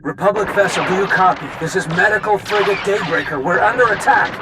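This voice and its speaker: A man's voice: high-pitched, a little fast, natural voice. A man playing a panicked crewman making a distress call in a video game cutscene. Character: panicked male medical frigate crewman calling for help. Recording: loud battle noise and gunfire.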